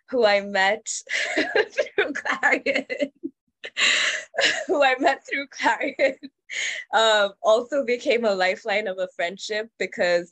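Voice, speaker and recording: A woman's voice: medium pitch, fairly bright tone, dry recording. A woman from Kenya speaking earnestly and reflectively in a casual panel discussion, with frequent fillers.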